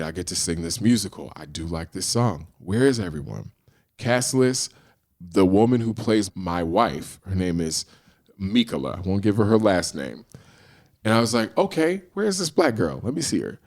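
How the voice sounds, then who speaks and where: Middle-aged male guest, very low voice, animated storyteller who acts out quotes. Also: normal speed. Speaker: guest, screenwriter and actor. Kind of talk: casual storytelling in a podcast interview.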